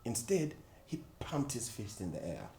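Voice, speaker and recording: A man reading a children's story expressively, with dramatic pauses and emphasis. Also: medium pitch, huge pitch swings, gravelly, warm tone. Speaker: volunteer storyteller at a children's book club. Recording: echoey room with faint background noise.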